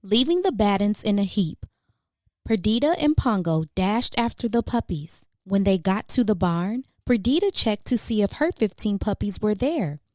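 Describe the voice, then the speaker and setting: A woman narrating a children's storybook expressively, with measured, dramatic emphasis for young listeners. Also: mid-range voice, animated, a little mellow, dry recording, clean studio sound. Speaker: children's book reader.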